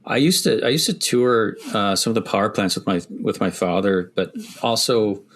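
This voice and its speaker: A middle-aged man from Wisconsin with a Midwestern accent softened by years in Canada, answering interview questions thoughtfully with many restarts. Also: fairly low pitch, normal speed. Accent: Midwestern American, softened by years in Canada. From Wisconsin, USA. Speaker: construction executive guest.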